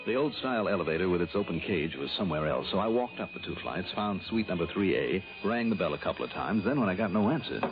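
Radio detective: very deep American male, wry and smooth, swinging intonation, muffled old broadcast. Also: expressive, fast, few pauses, music in the background. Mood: matter-of-fact narrating. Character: wry, smooth male insurance investigator, easily smitten. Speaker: lead actor and narrator. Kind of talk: 1960s radio detective drama acting and narration.

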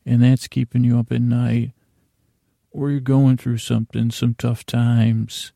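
Male sleep podcast host: dark, relaxed tone, dry close sound, meandering and stumbling delivery, gently comedic rambling. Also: medium pitch, creaky, no room sound. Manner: halting, meandering, stumbles over words, circles back. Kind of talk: rambling, comedic bedtime monologue.

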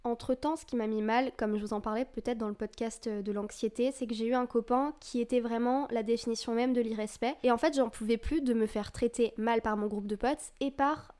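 Candid young woman podcaster, mellow voice. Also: a little high, steady tone, a little fast, few pauses. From France. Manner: candid, confessional, casual with fillers. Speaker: podcast host. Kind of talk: personal storytelling monologue.

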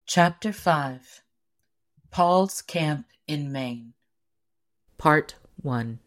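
A woman reading a story aloud as an audiobook narrator, in an expressive, measured storytelling manner. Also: deep voice.

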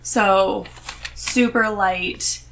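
A woman's voice: medium pitch, fairly even intonation, drawn-out words, gravelly, a bit bright, slight background noise, poor audio. A woman talking casually as a guest on a podcast. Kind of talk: casual chat.

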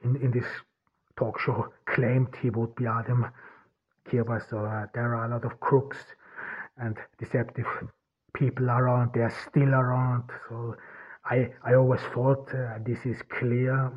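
A man whose first language is German, hosting a solo podcast and talking in a halting way, with many restarts and repeated words. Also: medium pitch, flat delivery. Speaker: podcast host. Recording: tinny phone-like sound.

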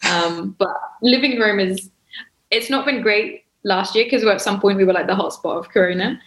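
A woman's voice: medium pitch, moderate pace. A young woman guest in a casual chat, easygoing and laughing often. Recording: roomy echo.

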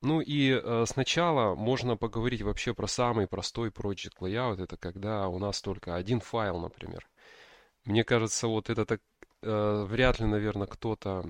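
A man's voice: fairly low pitch, normal speed, dry recording. A man co-hosting a podcast, explaining things casually and hesitantly as he thinks aloud. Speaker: podcast co-host explaining backend development. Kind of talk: casual explanatory tech discussion.

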